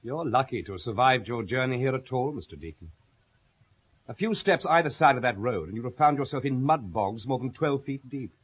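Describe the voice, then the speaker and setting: An actor playing an insolent, bitter young English gentleman in a radio drama, pointed and mocking. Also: medium pitch, animated, fairly quick, husky. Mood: ominous. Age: young adult. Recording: dull 1970s radio drama recording.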